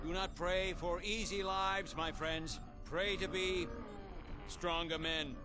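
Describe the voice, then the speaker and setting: An actor playing a stately 1960s American president, speaking in measured oratory in a game cinematic. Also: male voice, high-pitched, fairly even intonation. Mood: solemn. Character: famous 1960s American president, stately, rallying, unflappable leader. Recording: loud cinematic music behind the voice.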